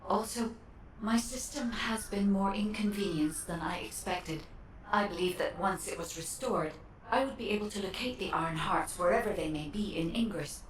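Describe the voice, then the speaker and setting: A woman voicing an earnest, helpful AI guide in a video game, speaking formally with measured, precise diction. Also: medium pitch, roomy sound, distant mic, a little hiss or hum, close to an everyday voice, low-quality recording. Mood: earnest, composed. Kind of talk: scripted video game dialogue.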